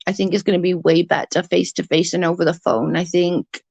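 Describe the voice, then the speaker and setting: A woman with a stutter, chatting casually on a livestream as she reads out viewers' comments, halting and restarting her sentences. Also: on the low side, steady tone, gravelly, darkish tone, no echo, muffled recording. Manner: rambling, halting, often restarts and repeats words. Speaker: livestream host reading chat.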